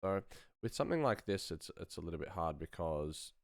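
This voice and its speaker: A man's voice: sits low, animated, a little fast, no echo, studio quality. A man hosting a casual live stream as a sound designer, rambling and thinking aloud as he works.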